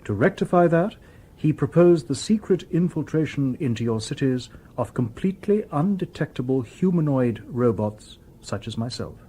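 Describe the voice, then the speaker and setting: An actor playing a calm, courteous humanoid robot detective in a radio drama, with formal, precise phrasing. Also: male voice, mid-range voice, normal speed, slightly rough.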